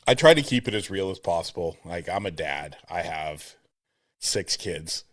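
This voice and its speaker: Podcast host, male, very low voice, dry close sound, earnest and upbeat chat. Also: expressive, normal speed, fairly bright tone, dry recording. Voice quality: deep voice. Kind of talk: casual conversational interview. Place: home room. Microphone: studio mic with compressor, at home.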